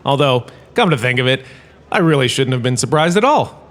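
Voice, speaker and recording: An actor playing a vain, washed-up star turned professor, lecturing in a pompous, theatrical, self-satisfied way for a comedic audio drama. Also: male voice, mid-range voice, big pitch swings, a little fast, a bit bright. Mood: smug, gracious.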